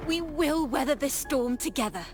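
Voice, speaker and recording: A woman's voice: high voice, animated, normal speed, soft background music. A woman voicing an earnest, resolute young heroine in a fantasy video game, speaking with determination.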